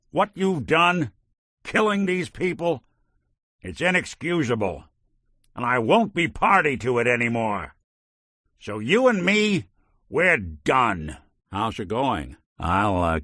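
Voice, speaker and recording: A man's voice: mid-range voice, sing-song, takes it slowly, a bit bright, dry recording, heavily acted. A male actor playing a weary, wry, principled synth detective in a video game, with an American accent and hard-boiled noir delivery. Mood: angry. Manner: dry, wisecracking, world-weary. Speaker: companion character in a video game.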